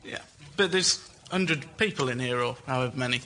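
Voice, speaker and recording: A man with a bright, mid-pitched voice speaks casually as a show contestant. He explains and argues his case without a script. Faint noise sits behind him. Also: fairly quick. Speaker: member of the public pitching an idea. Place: live venue with a studio audience.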